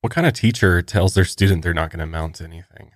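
A man's voice: low voice, quick pace, no echo, studio quality. A man co-hosting a podcast in casual chat, still congested after the flu, laid-back and agreeable. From Colorado, US. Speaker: podcast co-host.

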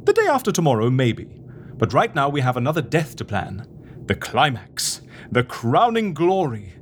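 A man's voice: medium pitch, huge pitch swings, normal speed, a little hiss or hum. A man playing an eager, theatrical schemer who is proud of his plots, in a comedy audio drama. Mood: eager, grandiose.

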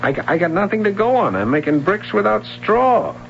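A man's voice: high-pitched, big pitch swings, brisk, creaky, heavily acted. An American actor, a man, playing a weary, sceptical police sergeant in a 1970s radio drama, speaking plainly and a little hesitantly. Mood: frustrated. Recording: dull, noisy old radio broadcast.

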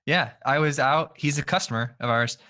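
A man's voice: mid-range voice, normal speed, sharp tone. A young man hosting a podcast, chatty and casual, filling his talk with "like". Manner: chatty, heavy use of "like". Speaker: podcast host.

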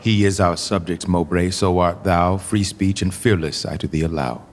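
A man's voice: low voice, steady tone, normal speed, a little hiss or hum, lightly acted. A man playing a commanding young king, speaking Shakespearean verse with formal authority. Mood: stately. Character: young king, regal, commanding, arbitrating a quarrel. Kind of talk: Shakespearean verse drama acting. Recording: long echoing hall reverb.

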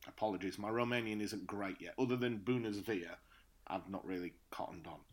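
A man, co-hosting a casual podcast chat, his voice a bit croaky from dry air, rambling with many fillers. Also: lowish voice, a little fast. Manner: rambling, lots of fillers and restarts. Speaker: podcast co-host. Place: apartment abroad.

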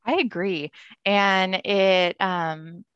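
A woman's voice: medium pitch, fairly even intonation, very slow, fairly bright tone, clean tone, no room sound, dull audio. A woman hosting a podcast interview, affirming and encouraging as she asks questions over a remote call. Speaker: podcast host. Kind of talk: casual interview chat. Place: home in Texas. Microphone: remote video call.

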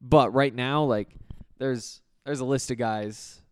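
Slightly hoarse man rambling on a podcast. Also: medium pitch, slow, no echo, studio quality. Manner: rambling, full of fillers and restarts. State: slightly hoarse from losing his voice. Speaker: sports podcast host. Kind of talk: casual solo sports talk.

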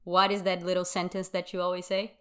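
A woman from Brazil whose first language is Portuguese hosts her podcast in English. She sounds young, and her voice sits a bit low. She talks in a chatty, easygoing way and laughs often. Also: completely flat delivery, fast, clear, resonant tone. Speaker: podcast host. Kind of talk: casual podcast chat.

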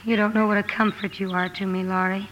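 A woman's voice: medium pitch, little pitch movement, fast talker, fairly bright tone, highs cut off, slight background noise, natural voice. An actress playing a headstrong, earnest young woman writer in a 1950s radio drama, with emphatic delivery. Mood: pained, earnest.

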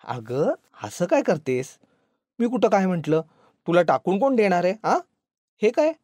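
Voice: mid-range voice, big pitch swings, moderate pace, darkish tone, no room sound, clean studio sound. A narrator voicing a meek, simple young husband who falters and tries to placate. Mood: flustered.

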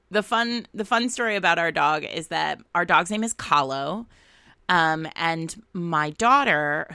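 Low, bright-voiced woman telling stories casually. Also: creaky, clean tone, no room sound. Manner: animated, reenacts dialogue in anecdotes. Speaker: podcast host. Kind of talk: casual conversational storytelling.

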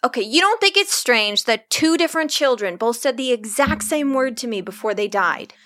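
A woman's voice: a little high, animated, normal speed, bright, forceful tone. A woman playing a wry, haunted, sleepless ER doctor who narrates a horror audio drama in the first person. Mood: agitated. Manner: wry, brooding, literary. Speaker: narrator and lead character.

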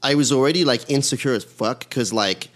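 A man's voice: medium pitch, a little fast, fairly bright tone. A man in his thirties from Iran, a podcast guest telling rambling, self-deprecating stories in casual chat.

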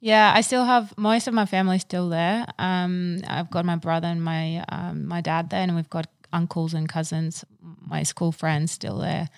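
Chatty woman, low voice, dry sound. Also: level tone, normal speed, hardly any pauses, dry recording. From Ukraine. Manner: chatty, many fillers and restarts. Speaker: business owner, interview guest. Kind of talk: casual interview answers.

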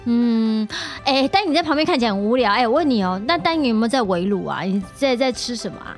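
A Taiwanese-accented woman chats in a high, animated voice over faint music. She asks questions casually and with curiosity, teasing a little as a podcast interviewer. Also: fast. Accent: Taiwanese Mandarin. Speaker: guest podcaster asking questions.

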